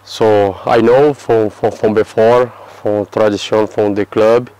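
A man in his thirties from Brazil, answering interview questions in halting English with a Brazilian Portuguese accent and many restarts. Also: fairly low pitch, little pitch movement, mellow, clear, resonant tone, poor audio. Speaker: football player. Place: outdoor terrace by a yellow building.

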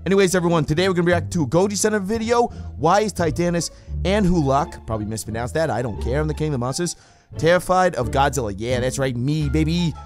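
A man's voice: fairly high pitch, fast, soft background music, lightly acted. A man voicing a cocky, playful giant reptile monster puppet, bantering and boasting as he hosts a comic reaction show. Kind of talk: casual comic puppet commentary.

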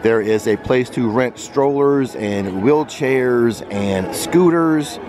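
A man's voice: medium pitch, slow, a little mellow. A man narrating an unscripted walking tour as a vlogger and guide, speaking directly to viewers and pointing out sights. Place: outdoors, walking through a busy theme park. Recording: outdoor ambient noise of busy park.

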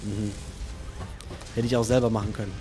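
A man's voice: fairly low pitch, level tone, brisk, background noise. A man giving casual let's play commentary, thinking aloud and chatting to himself and his viewers.

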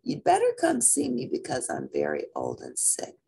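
A woman's voice: extremely low pitch, wildly swooping pitch, normal speed, creaky, mellow, husky, voice pushed down, exaggerated voice. A woman voicing a tender, devoted mother in a storybook, giving a repeated lullaby to her son. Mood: old, frail.

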